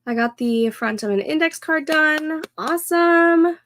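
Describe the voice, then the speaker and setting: A woman in her thirties hosting a casual co-working stream, chatting slowly and a little nasally as she works through her planner. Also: sits high, fairly bright tone, slight background noise. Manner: slow, chatty, talks to herself while setting up a planner. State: congested, a bit nasal. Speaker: co-working livestream host. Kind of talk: casual chat while working.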